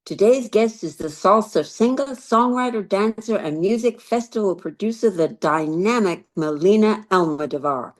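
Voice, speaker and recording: A woman's voice: medium pitch, lively intonation, normal speed, few pauses. A woman hosting an interview podcast, asking direct, prompting questions. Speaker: podcast host, psychotherapist.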